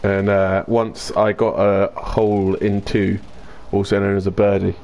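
Crude, excitable male streamer. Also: on the low side, a little slow. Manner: excitable, crude banter, loud outbursts. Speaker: gaming streamer. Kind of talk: casual gameplay chat with swearing.

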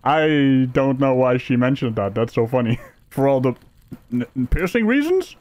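A man's voice: mid-range voice, big pitch swings, unhurried, a little mellow, a little hiss or hum. A man doing comedic reaction commentary in a sarcastic, mock-outraged manner with some swearing.

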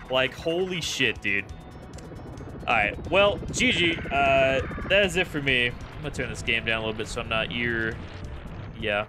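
A man's voice: mid-range voice, pushed and bright. A young man streaming a retro video game, commenting casually, with frustrated swearing. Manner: frustrated, swearing, laid-back. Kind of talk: casual live gameplay commentary.

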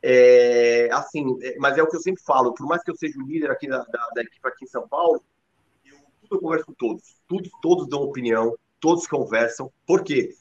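Fast-talking Brazilian coach, lo-fi call. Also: male voice, mid-range voice. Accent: Brazilian Portuguese. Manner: energetic, emphatic, many fillers. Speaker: MMA team leader and coach. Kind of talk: video call interview. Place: home living room. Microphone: laptop webcam on video call. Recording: dull lo-fi call audio.

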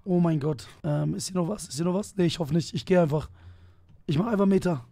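A hoarse young man streaming a game on a headset, chatting along excitedly as he plays. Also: fairly high pitch, fast talker, no room sound. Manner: excitable, thinking aloud, swearing at surprises. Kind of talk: casual gaming commentary. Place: small room at a desk.

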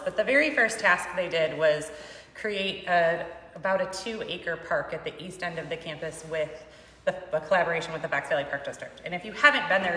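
A woman's voice: fairly low pitch, pushed and bright. A middle-aged woman explaining things as an interview guest, recorded a few feet away indoors. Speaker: guest, sales and marketing director. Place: large wood-paneled room indoors. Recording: very echoey room, faint background noise.

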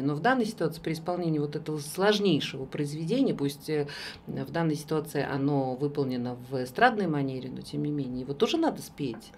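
A woman's voice: low-pitched, a little hiss or hum. A woman in her thirties hosting a casual radio interview, speaking conversationally. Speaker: radio show host, voice coach. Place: small radio studio. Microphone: studio condenser microphone.

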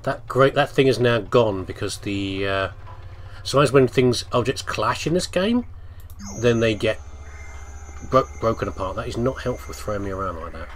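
A man's voice: lowish voice, expressive, moderate pace, a little hiss or hum. A British man giving casual, playful game commentary, chatting to the character and asking himself questions. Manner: playful, chatty, asks himself questions, reads on-screen text aloud. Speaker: let's play host.

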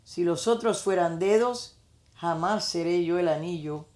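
A woman's voice: fairly low pitch, a little slow, darkish tone, lightly acted. A woman voicing a young, insecure and curious female bulldog in a storybook. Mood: dejected.

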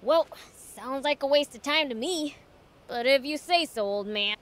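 High, bratty demon prince. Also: female voice, expressive, a little slow, a bit bright. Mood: dismissive. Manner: brash, dismissive. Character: bratty, cocky young demon prince boy. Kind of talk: video game voice acting. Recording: echoey hall reverb.

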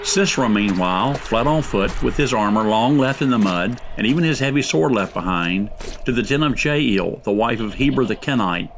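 A man narrating a scripted Bible story drama in a measured, solemn way. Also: medium pitch, animated, background noise.

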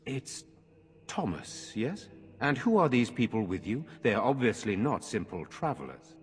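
An actor playing a grave, courteous noble lord in a fantasy game, speaking formally and earnestly in a reverberant stone hall. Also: male voice, mid-range voice, very animated, normal speed, faint music behind, natural voice. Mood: courteous, questioning. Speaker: game character. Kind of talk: dramatic fantasy game acting.

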